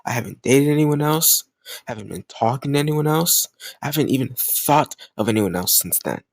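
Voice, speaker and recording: A man's voice: medium pitch, animated, normal speed, no echo. A man playing a jealous, stubborn young lover in an intimate romantic audio roleplay, speaking emotionally and insistently in casual language. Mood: earnest, tender. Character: jealous, stubborn young male lover confessing his love.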